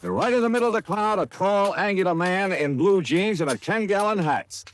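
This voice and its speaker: An actor playing a brisk, wry, tough investigator who narrates a 1950s radio detective drama. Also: male voice, sits high, slight background noise, close to an everyday voice. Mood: wry narrating. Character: brisk hard-boiled male insurance investigator, wry and tough. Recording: old radio recording with music.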